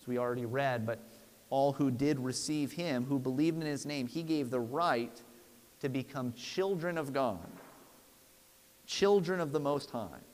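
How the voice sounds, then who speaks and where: A man in his thirties preaching a sermon from a church pulpit in an earnest, emphatic, deliberate way. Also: medium pitch. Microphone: lapel mic. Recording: long hall reverb.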